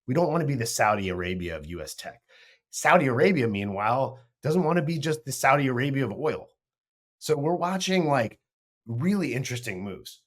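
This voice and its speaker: A man co-hosting a casual market discussion, animated and full of trailing restarts. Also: mid-range voice, fairly quick. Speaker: podcast co-host.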